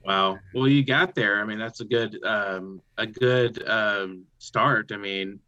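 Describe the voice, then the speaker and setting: A man's voice: lowish voice, slow pace, bright, forceful tone, no echo. A man hosting a literary interview show, encouraging his guest in loose phrasing with fillers. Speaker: show host. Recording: dull, dry lo-fi audio.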